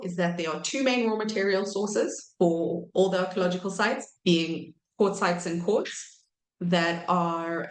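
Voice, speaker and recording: A woman in her thirties giving an academic lecture while croaky from a cold, pausing often with ums and correcting herself. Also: lowish voice, echoey room, low-quality recording. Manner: hesitant, many ums and self-corrections. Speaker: archaeology researcher giving a talk.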